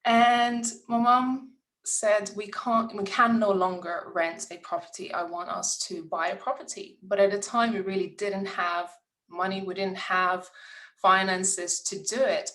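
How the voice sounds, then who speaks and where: An earnest woman, welcoming an audience as host. She speaks in an encouraging tone at an average pitch, sometimes restarting her sentences as she tells a personal story. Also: a bit bright, low-quality recording. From Lithuania. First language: Lithuanian. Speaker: summit host.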